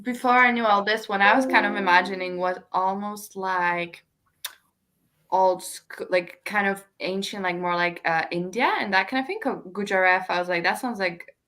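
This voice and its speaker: A woman with a distinctly bright voice of average pitch chats as a co-host would. Her delivery is informal and conversational, with thoughts worked out as she speaks. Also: normal speed. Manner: informal, thinking out loud. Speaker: book discussion co-host. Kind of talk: casual chat about books.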